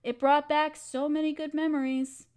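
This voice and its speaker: A woman's voice: high voice, level tone, normal speed, a little mellow, close to an everyday voice. A woman playing an affectionate, playful young wife in an intimate roleplay audio, talking tenderly and teasingly to her partner. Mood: warm, nostalgic.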